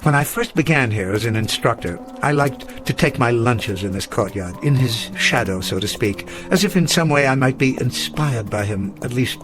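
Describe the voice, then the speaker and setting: A man's voice: medium pitch, animated, normal speed, hardly any pauses, over music, natural voice. An older man acting in a radio drama as a weary old professor full of regret, speaking in a formal, literary way. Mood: gentle, resigned. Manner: formal, literary, reflective speech.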